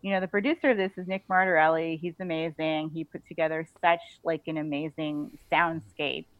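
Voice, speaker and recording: A woman's voice: sits low, steady tone, a little fast, no room sound. A woman talking effusively and casually as an author being interviewed, in a loose conversational way full of "like". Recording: band-limited, slightly dull audio.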